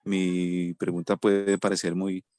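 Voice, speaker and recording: A man's voice: low voice, monotone, slow, warm tone, no echo, clean studio sound. Hesitant, polite man asking questions. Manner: hesitant, polite, many restarts. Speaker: student. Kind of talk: asking questions in an online class.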